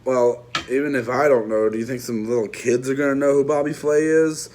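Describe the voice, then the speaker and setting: A man's voice: medium pitch, steady tone, brisk, darkish tone, slight background noise. Rambling man chatting a movie review. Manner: rambling, lots of restarts and trailing asides; chatty, addresses viewers directly. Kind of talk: casual unscripted review.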